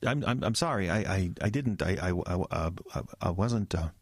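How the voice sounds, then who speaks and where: An earnest young pastor, acted by a man, insistent and easily rattled. His fairly low voice swings up and down with feeling, in a closely recorded audio drama. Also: animated, moderate pace, no room sound, studio quality. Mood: shaken, stammering. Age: young adult. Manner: earnest, stammering when rattled.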